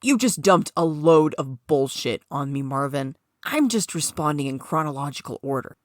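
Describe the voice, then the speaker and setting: A woman's voice: deep voice, lively intonation, normal speed, dry recording, studio quality. Woman reading as Jerry, a blunt sceptical man. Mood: blunt, dry. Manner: blunt, incredulous. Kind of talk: dramatic story reading.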